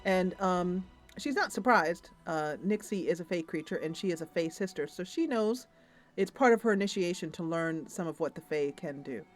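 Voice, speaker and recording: Female roleplay narrator: expressive, improvised storytelling with lively pitch movement and music present. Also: moderate pace. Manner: expressive, descriptive. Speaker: game narrator, streamer.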